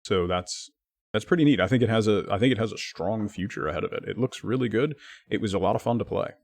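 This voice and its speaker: A man streaming live, chatting casually with his viewers and thanking them by name, his talk full of lively swings up and down. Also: on the low side, quick pace, vocal fry, dry recording, studio quality.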